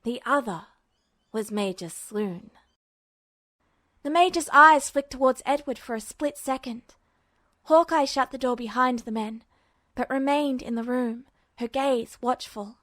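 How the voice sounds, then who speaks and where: A woman reading fan fiction aloud as a podfic, with even, attentive storytelling narration. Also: sits high, a little slow, pauses often, no room sound, clean studio sound. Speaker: podfic reader.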